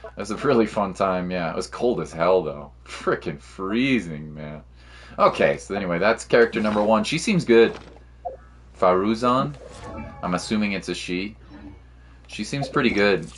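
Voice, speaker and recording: Low-voiced guy streaming games. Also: lively intonation, normal speed. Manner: laid-back slangy talk with mild swearing. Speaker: game streamer. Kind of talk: casual livestream chat and gameplay commentary.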